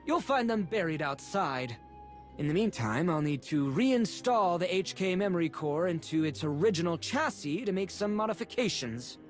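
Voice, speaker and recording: Guy acting a snooty fussy museum curator in a game, highish voice, really lively and theatrical. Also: close to an everyday voice. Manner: pompous, fastidious, theatrical phrasing. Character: fussy, haughty male curator and secretive collector. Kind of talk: video game character acting. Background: music.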